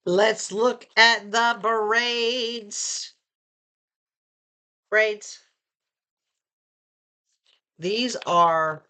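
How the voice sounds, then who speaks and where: A woman vlogger chatting casually through an unboxing, reading labels aloud and asking viewers questions, a bit hoarse from losing her voice. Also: lowish voice, pushed and bright. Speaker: vlogger reviewing purchases.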